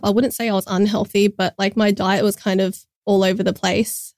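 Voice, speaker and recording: A young Australian woman in her twenties chats easily as a podcast guest, filling her sentences with "like" and "kind of". Her voice sits at an ordinary middle pitch, and the recording is close and dry, with no room echo. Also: a little mellow. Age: 20s. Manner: friendly, chatty, frequent "like" and "kind of". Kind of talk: casual interview chat.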